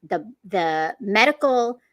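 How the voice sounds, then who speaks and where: A woman hosting a live podcast interview, effusive and quick to laugh. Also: mid-range voice, animated, dry recording. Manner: warm, effusive, laughs often. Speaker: podcast host.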